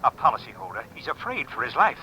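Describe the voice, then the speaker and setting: A man's voice: medium pitch, sing-song, fast talker, sharp tone. An actor playing an anxious, urgent insurance company man through a telephone filter in a 1950s radio drama. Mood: urgent, worried. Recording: thin, dull old radio, faint noise.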